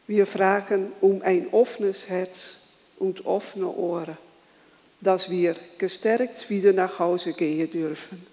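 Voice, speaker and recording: Slow careful worship leader praying and reading scripture, halting wording, big echoey church hall. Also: mid-range voice, steady tone, a little mellow. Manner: slow, halting delivery with grammar slips.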